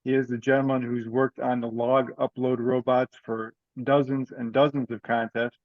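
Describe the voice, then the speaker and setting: A man giving an informal, unscripted update as he presents at an online meeting, often halting and thinking aloud. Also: mid-range voice, flat delivery, no room sound. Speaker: online meeting presenter for an amateur radio group. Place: dry room, on a video call. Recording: dull, lo-fi video-call audio.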